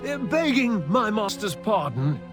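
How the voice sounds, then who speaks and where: Slow, fawning vampire chamberlain. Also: male voice, high-pitched, big pitch swings. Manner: fawning, pompous, drawn-out. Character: obsequious, self-important vampire courtier, a scheming chamberlain. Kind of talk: theatrical video game character acting. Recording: loud orchestral music behind.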